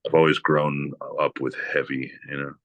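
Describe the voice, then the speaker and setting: A man from New England with a deep voice, talking casually as a podcast guest with lots of "like" and "you know". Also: moderate pace, no echo. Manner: relaxed, rambling with many "like" and "you know" fillers. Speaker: guest guitarist.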